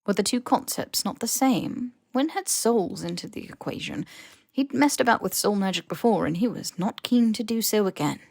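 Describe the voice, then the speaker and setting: A woman's voice: medium pitch, expressive, normal speed, creaky, darkish tone, dry recording, clean studio sound. A woman reading scripted fiction as an audiobook narrator.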